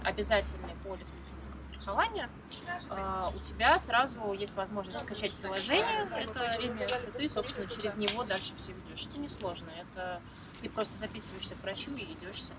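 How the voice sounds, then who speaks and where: A woman from Moscow answers interview questions in a measured, explanatory manner. She is picked up far from the mic, and heavy street traffic roars behind her. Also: medium pitch. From Moscow, Russia. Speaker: interview guest. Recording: distant mic with loud traffic noise.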